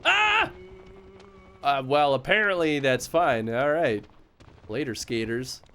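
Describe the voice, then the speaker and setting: A man streaming a horror game live, chatting casually and yelling excitedly at the action. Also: medium pitch, takes it slowly. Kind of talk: live gameplay commentary.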